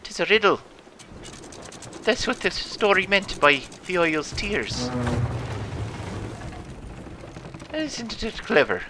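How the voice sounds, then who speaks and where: A man's voice: high-pitched, sing-song, unhurried, background noise. A man voicing a blustery, theatrical pirate captain who spins adventure tales in exclamatory old seafaring talk. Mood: smug. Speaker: storyteller and host. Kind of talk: in-character adventure game narration for children.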